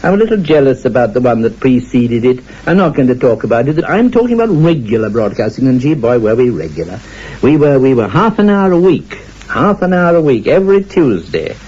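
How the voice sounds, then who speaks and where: An actor playing a witty 1920s radio engineer with a husky voice, reminiscing in a droll, hesitant, digressive way. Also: male voice, medium pitch, sing-song, fairly quick, warm tone. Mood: wry reminiscing. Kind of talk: humorous reminiscence in a radio play. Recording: dull, muffled old radio sound.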